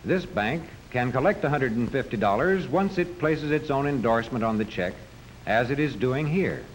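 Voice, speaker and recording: A man's voice: mid-range voice, animated, moderate pace. A man narrating a 1940s educational film from a script, explaining step by step in a didactic manner. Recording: noisy 1940s film soundtrack.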